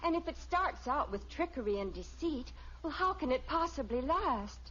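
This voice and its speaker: An American actress playing an earnest, indignant teenage daughter in an old radio family sitcom. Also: female voice, high-pitched, lively intonation, normal speed, fairly bright tone. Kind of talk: mid-century radio sitcom acting. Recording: muffled, very noisy old radio.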